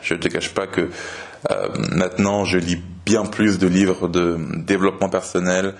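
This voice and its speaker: A young man from France in his twenties hosts a podcast, talking slowly and clearly for learners in a relaxed, steady way with frequent hesitations. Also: sits low. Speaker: podcast host.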